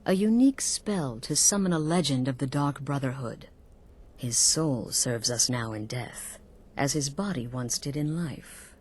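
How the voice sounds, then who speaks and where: An actress playing a cool, calculating female leader of an assassins' guild in a fantasy game, deliberate and conspiratorial. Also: extremely low pitch, a little mellow, slightly rough, heavily acted. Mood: cool, businesslike. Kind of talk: scripted fantasy video game dialogue.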